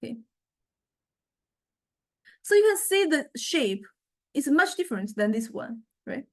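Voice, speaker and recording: A woman lecturer giving an informal software demonstration, explaining conversationally and often hesitating as she searches for words. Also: high voice, sing-song, unhurried. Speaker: university music technology lecturer.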